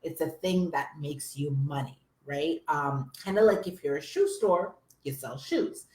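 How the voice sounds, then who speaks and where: A hoarse woman with a summer cold, a business coach chatting energetically to viewers on a live stream. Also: low voice, measured pace, roomy sound. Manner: energetic, chatty, addresses viewers as you guys.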